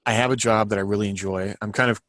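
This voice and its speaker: A man's voice: on the low side, fairly even intonation, quick pace, a bit bright, no room sound. A man co-hosting a casual podcast, rambling and thinking aloud as he talks. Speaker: podcast co-host and co-author.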